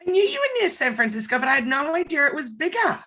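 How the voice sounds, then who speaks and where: A woman hosting a casual live interview over a video call, bubbly and enthusiastic, a little croaky this morning. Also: high-pitched, very animated, fast, sharp tone. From Australia. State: slightly croaky voice. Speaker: host.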